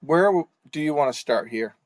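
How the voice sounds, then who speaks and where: A young man co-hosting a casual podcast from home, relaxed, with small stammers and frequent laughs. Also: on the high side, moderate pace, no echo. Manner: relaxed, stammers on first words, laughs often. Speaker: podcast co-host. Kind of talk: casual chat. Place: home room.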